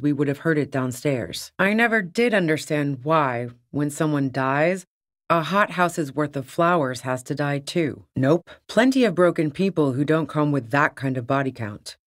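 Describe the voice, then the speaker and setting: A woman's voice: low voice, no room sound, clean studio sound. A woman voicing a tough, cynical, streetwise smuggler on the run in a video game, with a blunt, sardonic manner. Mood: dry, matter-of-fact. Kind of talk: video game character dialogue.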